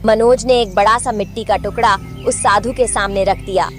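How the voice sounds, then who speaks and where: A woman's voice: mid-range voice, steady tone, normal speed, soft background music. A woman narrating a scripted moral tale for children.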